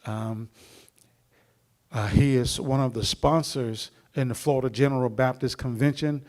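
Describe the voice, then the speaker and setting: A middle-aged man hosting a church seminar, introducing the speaker in a rambling, preacherly way with many pauses. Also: medium pitch, fairly even intonation, darkish tone.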